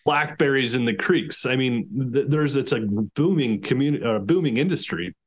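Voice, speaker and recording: A man's voice: mid-range voice, expressive, normal speed. A man in his thirties, an organic farmer, talking eagerly over a video call with many restarts. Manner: enthusiastic, rambling with many restarts. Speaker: organic chicken farmer, podcast guest. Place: small room indoors.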